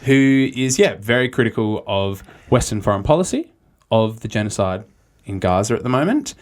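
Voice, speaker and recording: A man's voice: on the low side, animated, normal speed. A man co-hosting a casual political podcast, making careful, hedged points. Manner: speculative, hedging, frequent "look" and "yeah". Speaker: podcast co-host.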